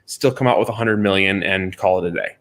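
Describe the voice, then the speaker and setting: A man with a fairly low voice talks casually as a podcast co-host. He is blunt and animated in how he puts things. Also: fairly quick. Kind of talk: casual chat.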